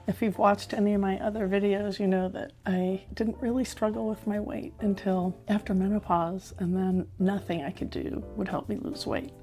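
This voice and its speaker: A woman in her fifties with a hoarse voice, talking candidly to camera in a personal health vlog. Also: mid-range voice, a little mellow. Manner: candid, chatty, self-reflective. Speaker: health vlogger.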